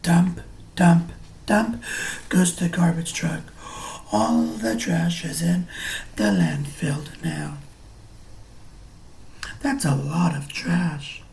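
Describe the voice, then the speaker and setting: A male teacher reads a picture book to young children. His voice swings up and down a lot and often drops into a creaky fry. He explains things simply and takes his time, with faint noise behind him. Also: on the high side, expressive, extremely slow, long pauses, a little mellow. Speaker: preschool or early grade teacher.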